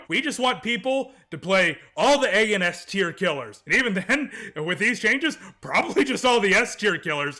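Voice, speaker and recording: A young man giving an angry, swearing gaming rant, shouting in bursts, stammering on repeats and going hoarse. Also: high voice. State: losing his voice. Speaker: streamer ranting about a game patch.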